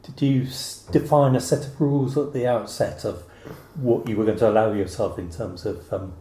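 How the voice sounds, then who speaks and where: A man working as an interviewer, putting measured questions in a conversational way. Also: mid-range voice, steady tone, mellow. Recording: roomy, echoey interview recording.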